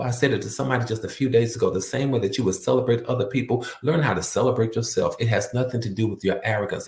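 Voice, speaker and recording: Emphatic low-voiced man, echoey room. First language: not French. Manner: repetitive, emphatic, preacher-like cadence. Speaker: motivational speaker. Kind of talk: motivational talk.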